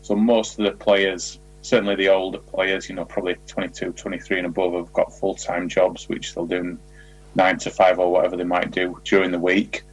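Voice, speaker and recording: A man speaking as a football manager in an interview, giving measured, explanatory answers in a conversational way. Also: sits low, little pitch movement, breathy edge, rough recording.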